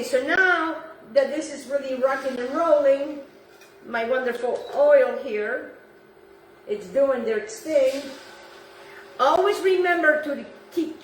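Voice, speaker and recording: A chatty woman from Italy, Italian her first language, talks a home cook through a recipe and repeats her tips. Her voice sits high. It is picked up from a little distance in an echoey kitchen with faint room noise. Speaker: home cooking host. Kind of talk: casual cooking demonstration.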